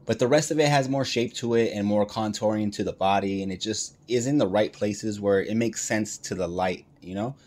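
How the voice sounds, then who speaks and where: A man's voice: fairly low pitch, moderate pace, few pauses, a little mellow. A man hosting a casual toy review, speaking informally and enthusiastically with plenty of slang.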